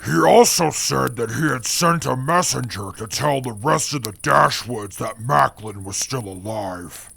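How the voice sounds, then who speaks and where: Brief: gravelly, slow-spoken navigator voiced by a man, formal and methodical. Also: medium pitch, a bit bright, no echo, heavily acted. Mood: calm. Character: calm, precise male navigator who never sleeps. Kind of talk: audio drama acting.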